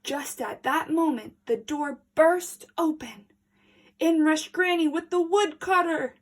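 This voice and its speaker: Slow, high-voiced woman reading a story. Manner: deliberate, dramatic emphasis. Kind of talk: expressive children's read-aloud.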